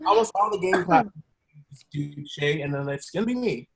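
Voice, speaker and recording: A man's voice: on the high side, wildly swooping pitch, a little fast, creaky, noisy background. A man performing on a podcast, chatting casually in a hesitant, self-deprecating joking way. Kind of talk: casual chat.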